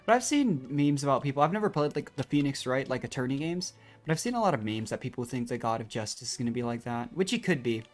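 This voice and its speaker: Female streamer, very deep pitch, casual commentary, musing, hesitant, conversational, faint game music behind. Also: very animated, fast talker.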